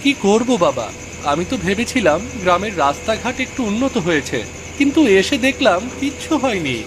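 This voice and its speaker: A man's voice: high voice, very animated, brisk, background noise. A man playing an earnest young village son in a cartoon story, speaking expressively. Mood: casual, reassuring. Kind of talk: animated cartoon story dialogue.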